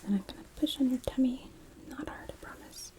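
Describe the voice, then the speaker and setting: A woman whispering softly and breathily in an ASMR medical exam roleplay, playing a gentle, unhurried, reassuring nurse. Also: high-pitched. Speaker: ASMR performer role-playing a night nurse.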